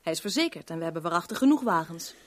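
An actress in a Dutch radio drama playing a guarded, sharp-tongued young woman with a curt, irritable manner. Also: on the low side, expressive, very fast, lightly acted. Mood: suspicious. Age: young adult.

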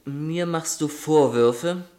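A man's voice: on the high side, slow, darkish tone. An actor playing a teasing, affectionate young man, an easygoing brother with a chatty, wry manner in a radio play. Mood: wry, reading aloud. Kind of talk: German radio play acting.

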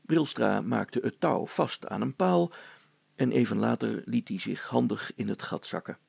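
A male storyteller narrates a children's tale at an unhurried pace. His voice is very gravelly and husky. He reads it as scripted narration. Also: medium pitch, lively intonation, a little mellow, no echo.

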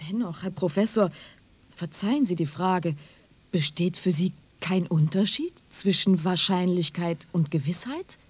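Husky, doubtful interviewer. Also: male voice, high-pitched, unhurried. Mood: polite doubt. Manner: polite, questioning, hesitant. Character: polite, doubtful interviewer questioning a professor. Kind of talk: German radio drama acting.

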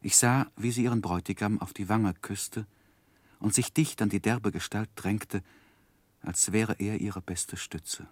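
Wistful storyteller, low pitch, measured reflective delivery, grave, dry studio sound, 1960s radio drama narration. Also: male voice, steady tone, no room sound. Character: grown David Copperfield recalling his life, grave and wistful.

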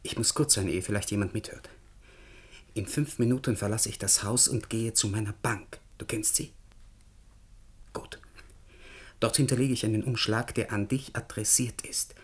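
A man's voice: lowish voice, moderate pace, long pauses, slightly rough. A man playing a determined, idealistic scientist in a German radio drama, speaking in an insistent, articulate way. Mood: hushed, secretive. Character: determined, idealistic male scientist, stubborn and defiant.

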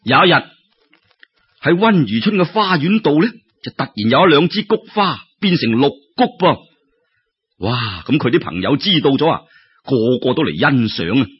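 A man with a Cantonese accent telling a story in an expressive, colloquial way, with exclamations and rhetorical questions. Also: fairly high pitch, normal speed, breathy edge. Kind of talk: animated traditional Cantonese storytelling narration. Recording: dull lo-fi audio.

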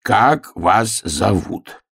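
A man voicing a self-important, stubborn bear cub who plays a stern official in a storybook, solemn, gruff and deliberate. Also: lowish voice, lively intonation, fairly bright tone, no room sound, clean studio sound, exaggerated voice. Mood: officious.

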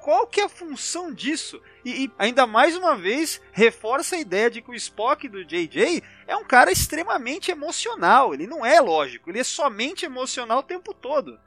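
A Brazilian man hosts a casual podcast with a high, bright voice that swings widely in pitch. He is informal and opinionated, and the slightly dull recording has music behind it. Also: sing-song, moderate pace, hardly any pauses. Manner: colloquial, opinionated. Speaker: podcast host, interviewer. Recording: muffled audio with music.

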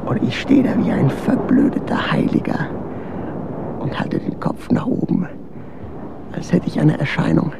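Drained bitter man muttering slowly to himself, gravelly, radio drama. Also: big pitch swings, full character voice. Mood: brooding, wistful. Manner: murmured, halting monologue, bitter. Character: exhausted, brooding man longing for the sun. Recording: loud rumbling noise around voice.

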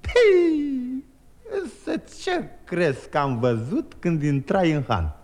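A boastful young peasant trickster, high, swooping delivery, old radio play. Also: male voice. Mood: sly. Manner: lively, boastful, chuckling rhymed telling. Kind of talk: 1950s radio drama, rhymed storytelling.

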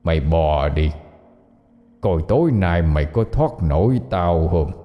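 Horror-story demon, male narrator acting: deep, rasping, drawn-out taunts, menacing and scornful, Southern Vietnamese accent. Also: animated, big-room sound, highs cut off. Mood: menacing, gloating. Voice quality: hoarse, rasping. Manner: slow, drawn-out taunting with crude insults. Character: gloating male long-necked demon, menacing and mocking.

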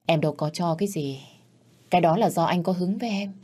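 Woman as a needling young mistress, quick and husky, teasing. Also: lowish voice, dark tone. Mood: wheedling. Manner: wheedling, taunting.

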